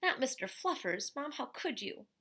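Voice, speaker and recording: Stubborn storybook boy, played by a woman, raised pitch, theatrical and pleading. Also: big pitch swings, moderate pace, bright, forceful tone, breathy edge, full character voice. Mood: indignant. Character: young boy, dramatic, pleading, stubborn haggler.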